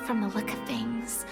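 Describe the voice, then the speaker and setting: A woman playing an earnest, thoughtful, anxious young woman adventurer in animated game acting. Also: a little high, creaky, exaggerated voice.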